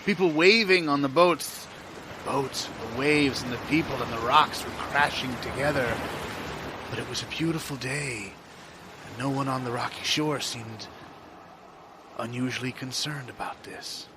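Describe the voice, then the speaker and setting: A man's voice: mid-range voice, natural voice. Man acting a theatrical dreamer, noisy background ambience. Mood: dramatic. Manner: dramatic, halting, repeats himself. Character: anxious dreamer recounting a surreal dream, swinging from hushed to panicked. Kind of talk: improvised audio theatre monologue.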